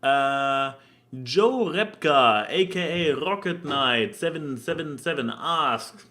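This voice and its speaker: A man's voice: a little high, expressive, slow pace, bright, forceful tone. A man co-hosting a casual podcast, meandering and repeating himself as he hunts for words. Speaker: podcast co-host.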